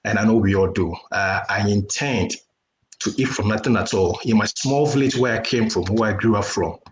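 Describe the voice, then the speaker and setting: An echoey, slightly noisy online-call recording of a man. He gives earnest personal testimony in deliberate phrases. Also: fairly low pitch. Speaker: panelist. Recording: roomy echo with noise and clicks.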